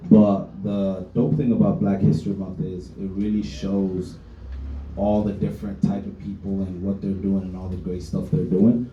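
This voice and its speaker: A young man, talking in an easygoing, slangy way on a community panel. His voice is very dark and a touch low, caught by a mic at some distance. The sound bounces around a roomy hall with a dull, lo-fi edge. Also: a little hiss or hum. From Minneapolis, USA. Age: young adult. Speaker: panel speaker, tech founder. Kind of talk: casual panel talk. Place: event hall with audience.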